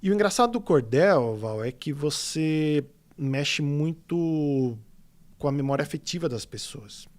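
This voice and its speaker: Talkative Paulista man, chatty and animated. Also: fairly high pitch, unhurried. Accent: São Paulo Portuguese. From São Paulo state, Brazil. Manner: rambling, animated storytelling with many fillers. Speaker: illustrator guest. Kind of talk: casual podcast interview.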